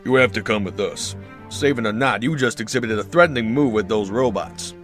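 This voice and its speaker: A stern soldier played by a man with a lowish voice. He gives orders with a commanding, threatening edge, as in an audio drama, with music underneath. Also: brisk, fairly bright tone, close to an everyday voice. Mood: stern warning. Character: stern male armed soldier in uniform. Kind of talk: fan audio drama acting.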